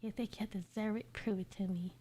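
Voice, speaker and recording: A woman's voice: medium pitch, steady tone, brisk, mellow, slight background noise, natural voice. A woman voicing a teasing, domineering lover in fan fiction, condescending and commanding. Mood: scornful.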